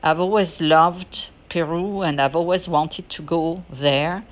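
Low-voiced, slow-speaking woman podcaster. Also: steady tone. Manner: hesitant, halting delivery with pauses. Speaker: podcast host. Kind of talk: unscripted personal monologue. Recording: dull, lo-fi audio.

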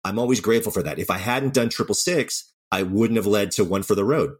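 Male interview guest, deep register, brisk delivery, candid and unpretentious creative-professional chat. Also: expressive, slightly rough. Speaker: writer-director of audio dramas, interview guest. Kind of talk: casual interview talk.

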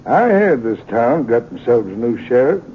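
Cocky, menacing western outlaw played by an actor. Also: male voice, sing-song, normal speed, slight background noise, natural voice. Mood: taunting, cool. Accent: American. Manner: mocking, taunting. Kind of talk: 1950s radio western acting. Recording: tinny, rumbly 1950s radio recording.

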